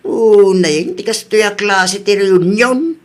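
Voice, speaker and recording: Grumbling family father played by a man, high voice, Ilocano accent, blunt, complaining radio-drama delivery. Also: takes it slowly. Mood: irked.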